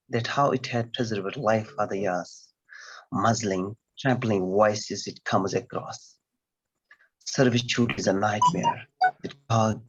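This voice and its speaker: A man's voice: on the low side, highs cut off. A man, a poet taking part in an online gathering, speaking and reciting formally over a call.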